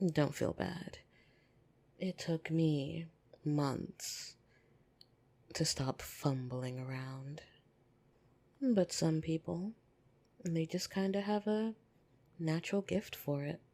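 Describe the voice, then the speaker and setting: Woman playing a slow, husky, lonely vampire woman. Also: low-pitched, exaggerated voice. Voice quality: soft, breathy. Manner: slow, teasing, intimate, with hesitant pauses. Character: lonely, flirtatious female vampire, tender yet easily irritated. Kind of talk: ASMR roleplay. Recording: soft piano music behind.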